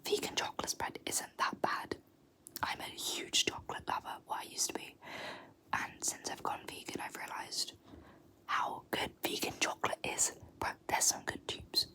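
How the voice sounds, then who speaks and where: A young woman whispering softly and slowly repeating words for an ASMR tag video. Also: poor audio. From South Africa. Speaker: YouTuber.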